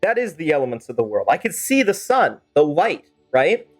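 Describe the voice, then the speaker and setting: A man's voice: a little high, very animated, normal speed. A man livestreaming a game, talking in a casual, rambling, chatty way and slipping into playful role-play. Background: game music.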